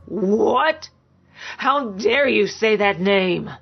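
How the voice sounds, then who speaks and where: A woman's voice: mid-range voice, animated, very slow, creaky, slightly rough, faint music behind, exaggerated voice. Brutish abusive male lover played by a woman narrator, growling and contemptuous, storybook drama, slightly muffled sound. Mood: enraged, contemptuous. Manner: growling, bullying, roaring contempt. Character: big brutish angry man, abusive sneering lover.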